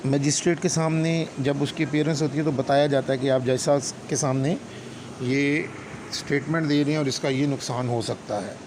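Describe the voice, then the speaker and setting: A man with a Pakistani accent giving an explanatory law lecture, deliberate and instructional, mixing in English legal terms. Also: mid-range voice. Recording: clear steady background noise, hum.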